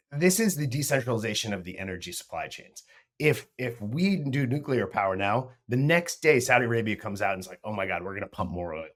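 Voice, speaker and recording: Animated low-voiced man talking markets. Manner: animated, trailing restarts. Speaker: podcast co-host. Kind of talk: casual market discussion.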